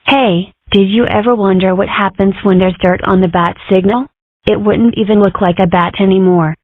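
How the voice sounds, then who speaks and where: A woman's voice: mid-range voice, fairly even intonation, moderate pace, no room sound, natural voice. Woman doing a cocky little cartoon kid, grand rambling statements, thin phone-like sound, super dry. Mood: whimsical, opinionated. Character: brash, self-important little cartoon girl, whimsical schemer. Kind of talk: comic strip dub. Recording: muffled, phone-like narrow audio.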